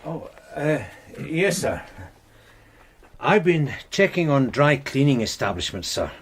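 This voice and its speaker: A man's voice: medium pitch, very animated, takes it slowly, husky, full character voice. An earnest detective sergeant in a radio drama. His mid-range voice moves about expressively, dutiful and deferential as he explains things methodically.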